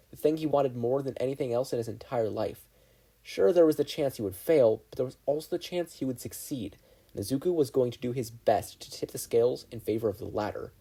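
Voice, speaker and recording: A podfic narrator reading fiction aloud in an animated, scripted storytelling style. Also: medium pitch, breathy edge.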